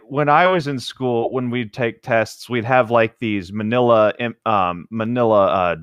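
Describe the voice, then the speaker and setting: A man co-hosting a casual read-aloud, halting and agreeable as he adds chatty asides. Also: fairly low pitch, no room sound, highs cut off. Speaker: co-host of a humorous read-aloud commentary.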